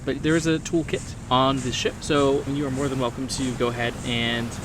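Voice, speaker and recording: A man's voice: mid-range voice, flat delivery, normal speed, noisy background. Man narrating a game over engine ambience. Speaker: game master. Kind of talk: improvised tabletop horror game narration. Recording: clear boat engine ambience behind.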